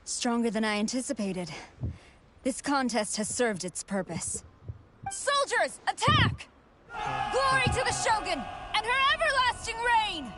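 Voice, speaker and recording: A haughty military commander from a video game. Her voice sits fairly high, and she declaims formally with absolute authority and loyalty. Music plays clearly behind her. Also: big pitch swings, measured pace, bright, forceful tone, exaggerated voice. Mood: measured, then fierce, shouting orders. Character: proud commanding female army general, fanatically loyal.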